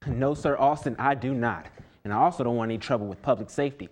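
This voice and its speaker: A young man anchoring a student newscast with steady, scripted delivery. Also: mid-range voice, fast. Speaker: news anchor.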